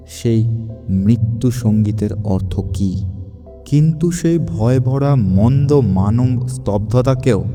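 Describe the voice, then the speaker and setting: A man's voice: medium pitch, dark tone. A man narrating a scripted story dramatically, in a suspenseful, theatrical manner with words repeated for effect. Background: music.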